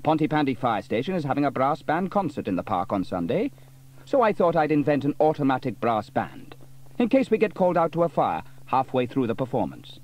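A man's voice: medium pitch, sing-song, a little fast, darkish tone, pitched above the performer's own voice. A man voicing a cheerful, proud, inventive village fireman in a storybook reading. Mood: cheery. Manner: hearty and proud.

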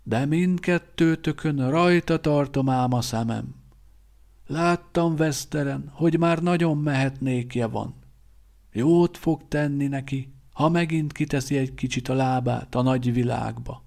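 A man voicing an aged male vampire quartermaster, a soft, fond and knowing former mentor. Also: mid-range voice, vocal fry, pitched above the performer's own voice, natural voice.

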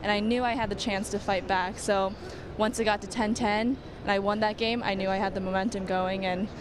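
A young woman athlete answers interview questions into a handheld mic. She is modest and giggles lightly. Clear background noise runs behind her. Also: medium pitch, steady tone, a little fast. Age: young adult. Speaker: athlete guest. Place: studio set at a convention center.

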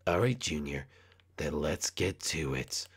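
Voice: rumbling low, expressive, unhurried, breathy edge, pitched below the performer's own voice, heavily acted. A man voicing a menacing, boastful hedgehog with a curt, threatening growl in an amateur comedy skit. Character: Shadow, menacing boastful male hedgehog, cool and surly.

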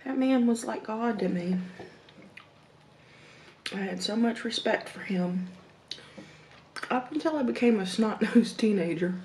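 A Southern woman in her thirties talks at an unhurried, drawn-out pace, telling stories with soft hums between thoughts. She sits at her own table, picked up from a little way off by the camera. The room rings back with a noticeable echo, over a faint steady hum. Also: fairly high pitch. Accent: Southern American. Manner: slow, reflective, with hums and soft laughs between bites. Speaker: mukbang vlogger. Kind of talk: casual storytelling while eating. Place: home dining room, indoors.

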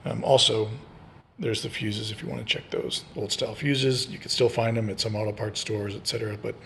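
A man's voice: on the low side, level tone, brisk, gravelly, fairly bright tone, a little hiss or hum. Casual man explaining a repair. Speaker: host of a DIY repair channel.

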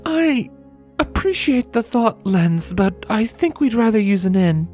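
A woman voicing a composed, protective female pony traveler in a storybook reading, polite but firm and measured. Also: mid-range voice, very animated, darkish tone, soft background music, pitched above the performer's own voice. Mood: reluctant.

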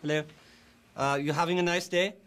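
A man playing a nervous, bumbling German interviewer who speaks halting broken English with a German accent. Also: on the high side, steady tone, a bit bright, clean tone, close to an everyday voice. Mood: awkward. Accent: German (put on). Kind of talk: prank interview.

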